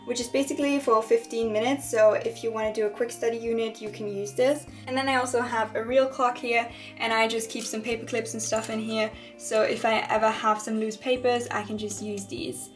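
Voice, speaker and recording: A woman's voice: a little high, fairly even intonation, a little fast, few pauses, faint music behind. Chatty young woman touring her dorm room. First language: German. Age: young adult. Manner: chatty, repeats words for emphasis ("very, very"). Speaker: vlogger giving a dorm room tour. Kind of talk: casual tour narration.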